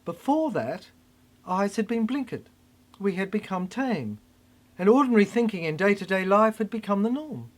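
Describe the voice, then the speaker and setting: A narrator performing a theatrical spoken-word monologue in an emphatic way, with halting repeats. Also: medium pitch, very animated. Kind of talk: dramatic spoken-word narration of a cryptic science-fiction monologue.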